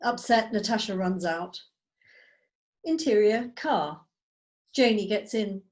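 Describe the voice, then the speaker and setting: A woman's voice: medium pitch, animated, slow. A woman reading scripted stage directions as narrator over a video call.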